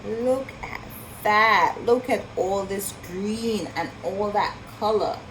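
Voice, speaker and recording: A woman reading a picture book aloud to children in an expressive storytelling style, with marvelling asides and questions to her young listeners. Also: mid-range voice, takes it slowly, slight background noise, low-quality recording.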